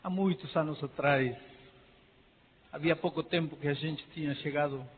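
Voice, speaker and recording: A middle-aged man from Peru, a pastor with a Peruvian Spanish accent, preaching an impassioned sermon that swings from soft pleading to near shouting. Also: a little high, moderate pace, a little hiss or hum. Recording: tinny lo-fi sound in a reverberant hall.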